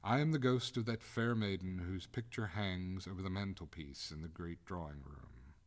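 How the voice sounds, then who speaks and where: A man reading a story aloud, voicing the prim, reproving ghost of a drowned maiden, in a voice close to his own low narration. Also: moderate pace. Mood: solemn. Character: haughty drowned maiden ghost, centuries old.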